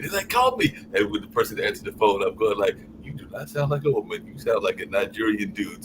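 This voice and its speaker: A man on a live call-in podcast, talking in long rambling stretches and often trailing off with "along those lines". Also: fairly low pitch. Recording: noisy stream audio.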